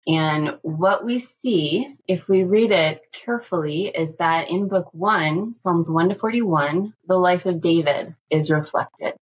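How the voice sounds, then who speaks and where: Low-voiced woman professor, husky. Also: takes it slowly, hardly any pauses, muffled recording. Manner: calm, methodical explaining. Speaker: Hebrew Bible professor. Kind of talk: explanatory academic talk.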